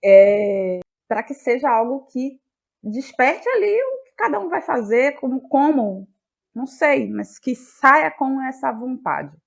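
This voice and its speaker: A woman with a Bahian Brazilian accent, speaking as an interview guest in a reflective, conversational way, often halting to search for words. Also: sits high, sing-song, gravelly. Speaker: guest, playwright and actress.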